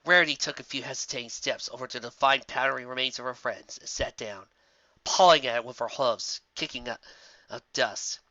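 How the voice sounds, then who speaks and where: A man's voice: mid-range voice, brisk, bright, forceful tone, no room sound, lo-fi sound. A man narrating a fantasy story dramatically, as a reader of fan fiction.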